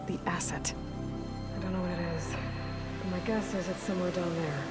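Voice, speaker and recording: Woman acting a low-voiced, determined young explorer. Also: steady tone, brisk, natural voice. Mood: focused, musing. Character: determined, guarded young female explorer and leader. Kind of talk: video game voice acting. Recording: game music behind the voice.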